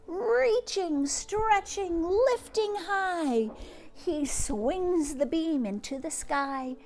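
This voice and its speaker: A woman reading a children's picture book aloud, expressively, with drawn-out words and hushed asides, speaking directly to young listeners. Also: very high-pitched. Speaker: teacher or read-aloud host reading to children.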